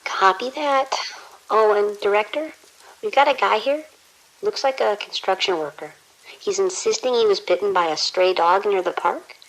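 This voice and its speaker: A woman playing a harried, brisk emergency room nurse in an audio drama, reporting in a clipped, businesslike way. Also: mid-range voice, fairly bright tone, natural voice, low-quality recording. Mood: wry, businesslike.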